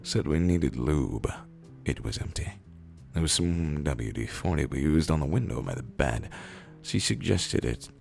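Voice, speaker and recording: A man with a hoarse, raspy, rumbly voice, reading stories aloud in an unhurried, drawn-out way with pauses. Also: lively intonation, a little mellow, faint music behind. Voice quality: raspy, rumbly, gravelly fry. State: hoarse from days of screaming. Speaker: narrator reading internet posts. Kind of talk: slow, low dramatic reading of short stories.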